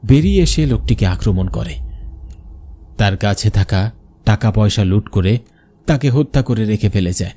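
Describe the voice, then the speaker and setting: Man acting a worried, mellow-voiced village showman. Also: fairly low pitch, animated, normal speed, pauses often. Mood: haunted, tense. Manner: dramatic storytelling. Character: worried, frightened village bioscope showman telling his story. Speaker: narrator and main character. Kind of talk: scripted first-person horror cartoon narration.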